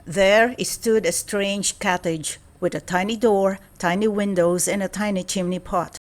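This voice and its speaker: Female storyteller for children's read-aloud: mid-pitched, measured, expressive scripted narration.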